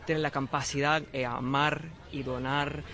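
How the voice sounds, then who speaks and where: A young man from Chicago with a Puerto Rican Spanish accent, a culinary student being interviewed outdoors, earnest and warm, repeating words for emphasis. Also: mid-range voice, little pitch movement, a little slow. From Chicago, of Puerto Rican heritage. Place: outdoor parking lot.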